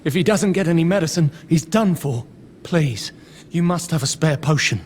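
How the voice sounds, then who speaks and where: An actor playing a frightened deserter in a fantasy game, anxious and pleading. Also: male voice, on the high side, lively intonation, normal speed, a little mellow, slight background noise, lightly acted. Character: frightened, pleading male deserter hiding from monsters. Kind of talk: fantasy game character acting.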